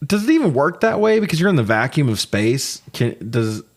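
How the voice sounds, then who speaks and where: A man co-hosting a podcast, chatting casually through a movie recap in a jokey, swear-heavy way that gets animated when he is riled. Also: medium pitch, brisk. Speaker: podcast co-host.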